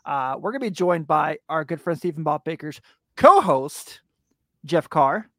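Hyped, hoarse male podcast host. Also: fairly high pitch, lively intonation, pushed and bright, no echo, studio quality. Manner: hyped, exclamatory, drawn-out hype words. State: raspy voice. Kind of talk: casual sports podcast chat.

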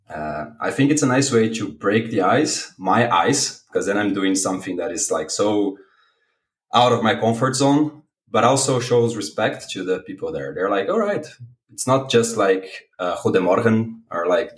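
A low-voiced man from Brazil who grew up speaking Portuguese chats casually as a podcast guest. He pokes fun at himself in a playful way. The sound is echoey, as in a room. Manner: playful, self-deprecating. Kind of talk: casual chat.

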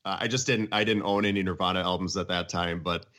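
A man's voice: low-pitched, steady tone, fast talker, fairly bright tone. A middle-aged man chatting casually about music in an enthusiastic, emphatic way.

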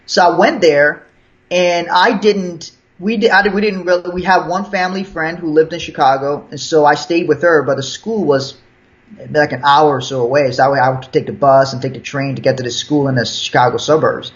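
Liberian-born guy w/ american accent, laid back storyteller, a bit high voiced, muffled lo-fi audio. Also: fairly even intonation, brisk. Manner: easygoing storyteller, frequent restarts and chuckles. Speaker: guest. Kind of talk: casual interview chat. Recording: dull lo-fi sound.

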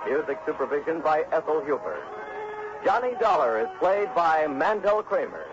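A man's voice: a little high, sing-song, normal speed. A man announcing scripted credits in a 1960s radio broadcast style. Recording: dull vintage radio, loud music behind.

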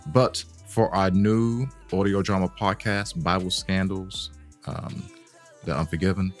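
Deep-voiced joking male podcast co-host. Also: fairly even intonation, a little slow, soft background music. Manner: loose, joking, swears casually. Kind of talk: casual chat.

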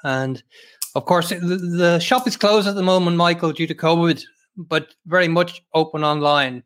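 A man hosting an interview over a video call, measured and formal with many hesitations. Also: a little high, fairly bright tone. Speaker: host.

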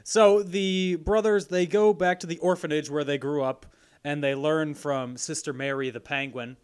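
A man's voice: fairly high pitch, smooth. A man from Illinois co-hosting a casual podcast chat, recapping the plot and joking, laughing often. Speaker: podcast co-host.

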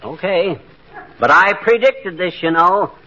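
An actor playing a blustering, boastful middle-aged husband in a 1940s radio comedy, with folksy, slangy talk. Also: male voice, sits high, lively intonation, unhurried, sharp tone, exaggerated voice. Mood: teasing. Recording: noisy, dull 1940s radio recording.

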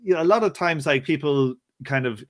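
A man's voice: a little high, lively intonation, fairly quick. A man with an Irish accent hosting an interview, asking rambling, chatty questions. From Ireland. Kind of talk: casual interview.

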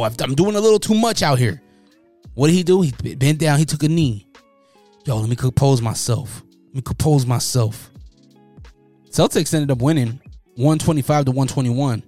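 A man giving casual, joking commentary on news stories, slangy and animated. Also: fairly high pitch. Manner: animated, joking, slangy, mocking voices in quotes. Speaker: commentary host.

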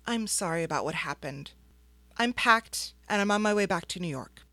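A woman voicing a guarded young female detective, speaking softly and hesitantly. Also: fairly low pitch, lively intonation, moderate pace, dry recording. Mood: wary.

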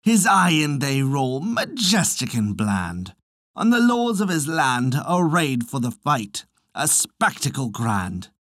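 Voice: mid-range voice, very animated, slow, fairly bright tone, no room sound, clean studio sound. A storyteller voicing a tiny, proud male fairy poet with a declamatory, archaic and haughty delivery. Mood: grand recital.

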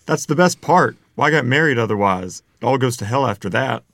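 A man's voice: medium pitch, animated, fairly quick, a bit bright, no echo. A man acting a tipsy, blunt middle-aged country father of the bride at a screenplay table read, blustery and sardonic. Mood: tipsy, needling.

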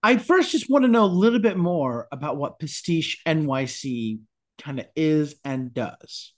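A man's voice: a little high, lively intonation, a little slow. A man hosting a podcast, introducing and interviewing a guest in an effusive, courteous way. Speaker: podcast host. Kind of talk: upbeat scripted introduction and interviewing.

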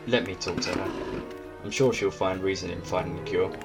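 An actor playing a calm, conciliatory man in an audio drama, placating in manner. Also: low voice, normal speed, gravelly. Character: calm, conciliatory man smoothing over a dispute. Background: music.

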